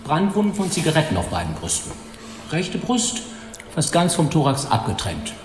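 An actor playing an old pathologist in a radio drama, dictating in a clinical, detached and deliberate manner. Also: male voice, fairly high pitch, huge pitch swings, soft background music. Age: older. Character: tall old male pathologist, clinical, cigar-smoking, matter-of-fact. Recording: echoey hall with long reverb.

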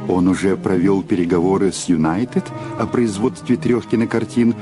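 A man's voice: low-pitched, fairly even intonation, moderate pace. A man narrating a Russian audio drama in a dramatic scripted storytelling style. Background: music behind the voice.

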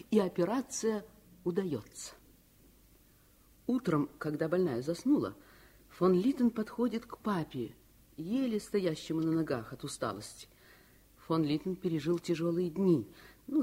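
A woman playing a grown narrator who recalls childhood, expressive and heartfelt, in a Soviet radio play. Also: lowish voice, husky.

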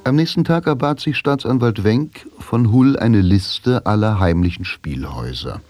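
A man's voice: lowish voice, lively intonation, moderate pace, darkish tone. A man delivering scripted narration for a radio play. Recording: clear music behind the voice.

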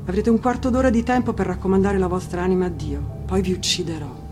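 A woman's voice: on the low side, steady tone, fast talker, lightly acted. A woman voicing a wrathful, murderous husband in a fairy tale, commanding, thunderous and pitiless. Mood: cold, menacing. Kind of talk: dramatic fairy-tale reading. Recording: music under the voice.

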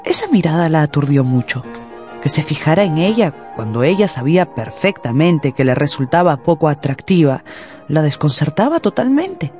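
A woman narrating a scripted radio drama in an expressive storytelling manner. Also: low voice, normal speed, faint music behind.

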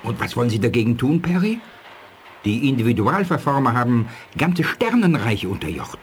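An actor playing a sceptical, probing ally in a 1980s radio drama, with a husky voice and pointed manner. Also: male voice, medium pitch, very animated, normal speed, slight background noise, close to an everyday voice. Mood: sceptical, grave.

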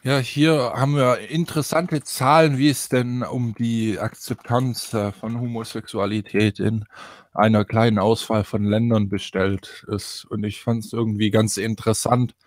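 A man's voice: lowish voice, flat delivery, a little slow, dry recording, studio quality. A man co-hosting a casual podcast discussion. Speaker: podcast co-host.

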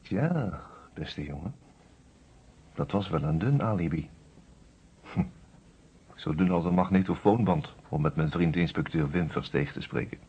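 An actor playing a genial, reflective lawyer who narrates a murder case in a radio drama, conversational and measured, with a husky voice on an old recording. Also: male voice, low-pitched, lively intonation, muffled recording, slight background noise. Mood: wry, musing.

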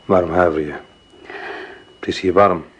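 An actor playing a recovering sick man in a radio play, speaking quietly and measuredly with a dry, pointed edge. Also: low-pitched, steady tone, moderate pace, dull audio, a little hiss or hum, natural voice. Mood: quiet, wary. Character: convalescent man, quiet, watchful and suspicious.

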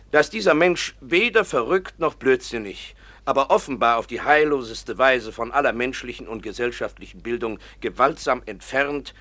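A man's voice: medium pitch, a bit bright. A man acting in a German radio play as an earnest professor and pedagogue, formal and articulate. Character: earnest male professor and pedagogue, devoted to his ward.

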